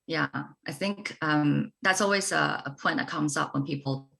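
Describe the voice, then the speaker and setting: Very low, gravelly-voiced woman artist. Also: moderate pace. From China. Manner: soft-spoken, many ums, thoughtful. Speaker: artist guest. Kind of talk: conversational panel talk.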